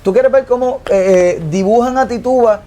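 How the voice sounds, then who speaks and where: A man co-hosting a casual panel podcast, talking in an animated way with many fillers and restarts. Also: very high voice, moderate pace. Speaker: podcast co-host.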